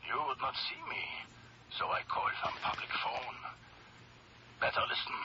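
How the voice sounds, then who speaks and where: A menacing crook on a very noisy phone line, speaking clipped, broken English. His gravelly voice swings widely in pitch, in old radio drama style. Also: wildly swooping pitch, normal speed, full character voice. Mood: quietly menacing. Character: menacing old criminal acquaintance with foreign accent, phoning. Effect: telephone filter. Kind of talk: 1970s radio drama acting.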